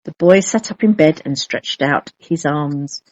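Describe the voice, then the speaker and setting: Woman, lowish voice, children's audio story narration, expressive and measured, dry close sound. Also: dry recording, poor audio. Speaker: storybook reader.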